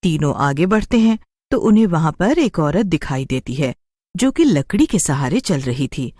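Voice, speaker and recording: A woman narrates a scripted story with dramatic flair. Her voice is low and moves widely in pitch, in a dry, close recording. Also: sing-song, moderate pace, a little mellow, no echo.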